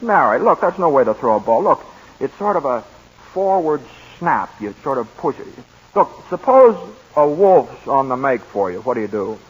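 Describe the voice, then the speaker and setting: An actor playing an ambitious young pollster and coach, talking in a bossy, joking way on a 1940s radio play. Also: male voice, mid-range voice, sing-song, breathy edge. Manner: bossy, fast-talking, jokey. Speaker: lead character. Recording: muffled 1940s radio broadcast.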